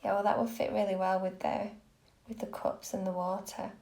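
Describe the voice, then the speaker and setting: A woman reading tarot on a live stream, gentle and soothing, a little croaky from a cold. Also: mid-range voice, flat delivery, normal speed, rough recording. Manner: gentle, soothing, lots of okays. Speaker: tarot reader.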